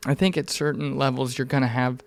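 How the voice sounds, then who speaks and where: Clear-voiced young man, candid podcast talk. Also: mid-range voice, level tone, fairly quick. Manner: informal, profane, rambling and earnest. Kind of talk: casual, candid podcast conversation.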